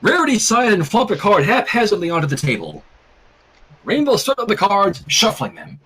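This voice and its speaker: A man hosting a stream, reading fan fiction aloud in an animated style with casual asides. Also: high-pitched, normal speed, a little hiss or hum.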